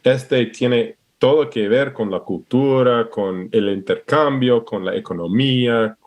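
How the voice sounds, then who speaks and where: Slow, halting American man speaking Spanish. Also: mid-range voice. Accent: American English. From Alaska, USA. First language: English. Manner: hesitant, searching for words with many restarts. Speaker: podcast guest. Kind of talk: casual interview conversation.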